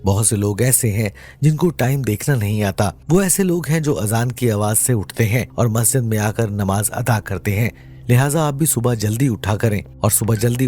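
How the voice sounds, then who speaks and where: Guy narrating a moral story, soft mellow voice, earnest, faint music behind. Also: medium pitch. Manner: earnest, addresses listeners as dear friends. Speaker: narrator of religious moral stories. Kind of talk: scripted dramatic storytelling narration. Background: background music.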